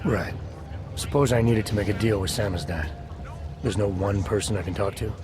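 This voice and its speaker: An actor playing a controlled, coolly probing male agent in a video game, with clipped, deadpan questioning. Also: lowish voice, moderate pace, music in the background, lightly acted. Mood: dry, probing.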